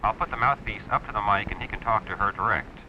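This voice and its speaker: An actor playing a brisk, obliging male sheriff's dispatcher over a police radio in an old-time radio drama, with a muffled sound. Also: lowish voice. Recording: muffled, faintly noisy vintage radio recording.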